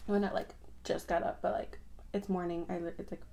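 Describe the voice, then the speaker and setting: A young woman chatting casually through a makeup routine, her voice raspy from just waking up, rambling with lots of fillers. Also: fairly low pitch, flat delivery, fairly quick, dark tone. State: raspy morning voice. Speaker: beauty vlogger. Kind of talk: casual get-ready-with-me chat.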